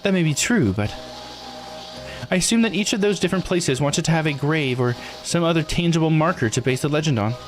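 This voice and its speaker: A man's voice: fairly high pitch, animated, brisk, faint music behind, lightly acted. A man voicing an eager, bookish young theorist who explains things earnestly, read aloud from a visual novel.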